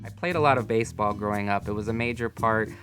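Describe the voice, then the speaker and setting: A man in his thirties from Miami, an emergency physician, speaking calmly in a measured interview testimonial with occasional fillers. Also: lowish voice, over music. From United States (Miami, Florida).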